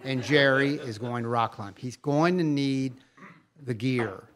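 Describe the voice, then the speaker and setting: A man's voice: mid-range voice, measured pace. A man co-hosting a podcast in casual chat, talking in an enthusiastic, insistent way. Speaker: podcast co-host.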